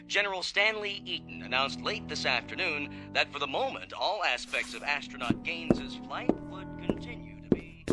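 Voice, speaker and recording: An animated American newscaster over loud music. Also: male voice, fairly high pitch. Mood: grave, official. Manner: formal, measured announcing. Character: male newscaster delivering a grave space-flight bulletin. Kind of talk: radio drama news bulletin.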